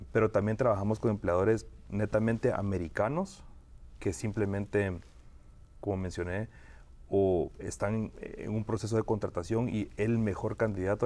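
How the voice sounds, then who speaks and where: A man from Guatemala, an immigration lawyer, answering interview questions in a measured way with frequent fillers and restarts. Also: lowish voice, mellow.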